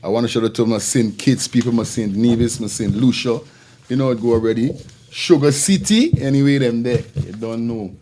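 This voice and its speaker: A man's voice: mid-range voice, fairly quick, mellow. A man with a Caribbean accent hosting a casual live stream in informal, slangy, repetitive patter. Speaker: live show host. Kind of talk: casual live-stream talk with shout-outs.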